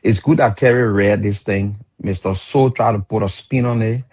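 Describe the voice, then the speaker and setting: Husky Liberian man in his fifties. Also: on the low side, normal speed. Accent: Liberian English. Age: 50s. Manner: animated, laughs mid-sentence, searches for words. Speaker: radio talk show panelist. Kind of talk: heated political debate.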